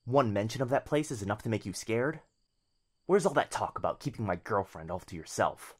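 A man acting as a devoted young boyfriend in an ASMR roleplay, tender, intimate and unhurried yet firm. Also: fairly low pitch, animated, breathy edge.

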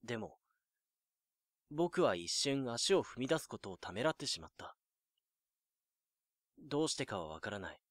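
A man's voice: mid-range voice, very animated, normal speed, long pauses, dry recording, studio quality. A man playing a lonely nineteen-year-old student, narrating an introspective monologue in an audio drama. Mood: hesitant, wistful. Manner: brooding, introspective.

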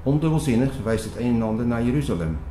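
A man's voice: medium pitch, animated, fast, dark tone, reverberant, a little hiss or hum, natural voice. A man playing a courteous, businesslike prior in an audio drama, speaking politely and formally. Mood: businesslike, dismissive. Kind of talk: Dutch audio drama acting.

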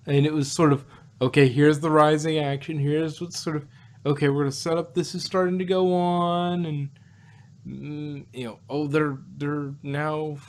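A man in a casual review chat, talking in a halting, rambling way as a panelist. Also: fairly high pitch, little pitch movement. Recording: clear background noise.

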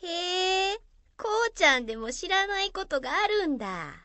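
A woman's voice: very high voice, big pitch swings, pushed and bright, no room sound, full character voice. A woman playing a curious, admiring young schoolgirl in an amateur radio drama, asking questions and musing. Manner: inquisitive, drawn-out musing.

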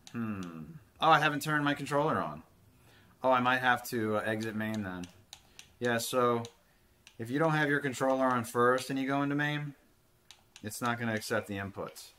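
Thirties dude rambling over retro handheld gameplay, bright voice, swears a bit, desk mic at home. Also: male voice, medium pitch, slight background noise. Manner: chatty, rambling, swears casually. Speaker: retro gaming channel host. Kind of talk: casual gameplay commentary.

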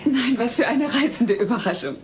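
A woman's voice: a little high, lively intonation, normal speed, crowd noise, natural voice. A woman playing an expectant mother and gracious hostess, theatrical, in a German-dubbed sitcom radio play. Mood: gushing.

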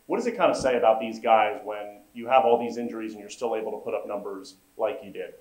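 A man's voice: lowish voice, fast, a little mellow. A man asking rambling, hesitant questions at a press conference. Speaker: reporter and moderator. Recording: echoey room.

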